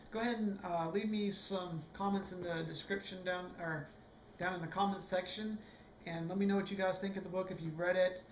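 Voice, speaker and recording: A hoarse man giving a casual, rambling video book review, full of fillers and restarts. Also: sits high, echoey room, a little hiss or hum, rough recording.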